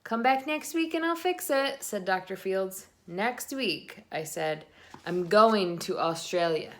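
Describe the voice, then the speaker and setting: Female reader, mid-range voice, expressive picture-book delivery with dramatic emphasis. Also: medium pitch. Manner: dramatic emphasis, drawn-out phrases, drops to whispers. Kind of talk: expressive children's read-aloud.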